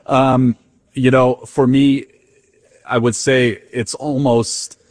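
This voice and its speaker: A man's voice: medium pitch, little pitch movement, takes it slowly, dry recording. A young man from the Netherlands, talking casually in an interview with many fillers and restarts. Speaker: interviewee, Dutch soccer fan.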